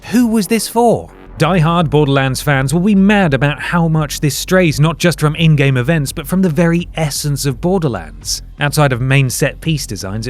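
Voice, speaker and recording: A man's voice: a little high, darkish tone. Sardonic man narrating a review. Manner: dry, sardonic. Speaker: video game critic narrator. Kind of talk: scripted video essay narration. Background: faint background music.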